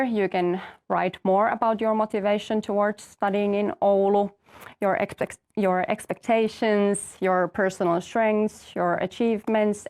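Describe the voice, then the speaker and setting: A young woman from Finland, a master's student, calmly explaining her path and the application steps in an info session. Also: medium pitch, gravelly. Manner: orderly, explanatory, light fillers. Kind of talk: answering questions in an info session.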